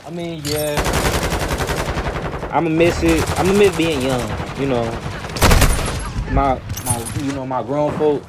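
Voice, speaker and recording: A man's voice: a little high, fairly even intonation, takes it slowly, pauses often. A fourteen-year-old boy rambling casually to his viewers in a storytime vlog, full of "you know" fillers and restarts, talking straight to the audience in informal slang. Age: teens, 14. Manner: addresses viewers directly, informal slangy speech. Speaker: vlogger. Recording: loud background noise and clicks.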